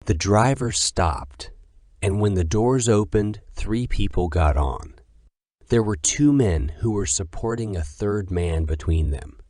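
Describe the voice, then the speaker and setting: A man's voice: deep voice, a little mellow, no echo, clean studio sound. A man reading scripted horror story narration.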